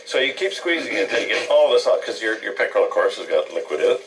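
A man's voice: lowish voice, very animated, racing, a bit bright. A man, hosting a cooking show in a casual, chatty way, on an old TV tape. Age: 60s. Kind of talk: casual TV cooking banter and presenting. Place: TV studio kitchen. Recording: distant mic, old TV broadcast tape.

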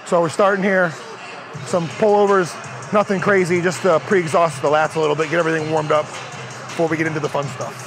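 A man's voice: fairly high pitch, level tone, brisk, over music, poor audio. A young man hosting a casual fitness vlog, upbeat and chatty, on a lapel mic in a large indoor gym. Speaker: fitness vlogger. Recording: crowded gym noise behind.

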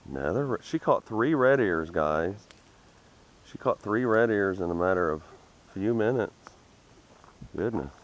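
A man's voice: on the low side, lively intonation, a little slow, pauses often. A man hosting a fishing vlog, chatting plainly to viewers from the bank of a rural canal. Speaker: fishing vlogger. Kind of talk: casual fishing commentary. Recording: faint outdoor noise.

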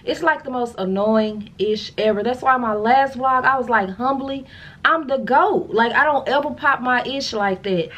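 An American woman in her thirties chatting casually to camera in a sassy, slangy, animated way, as a vlogger showing a haul. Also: on the high side, moderate pace. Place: furnished room at home, indoors.

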